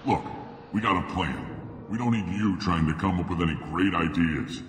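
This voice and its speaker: A deep-voiced, surly merc mechanic played by a man in a video game. He is brusque and scornful, brushing people off, in an echoing hall with faint noise underneath. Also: normal speed, natural voice. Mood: scornful, irritated. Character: gruff, surly mercenary mechanic, adult male.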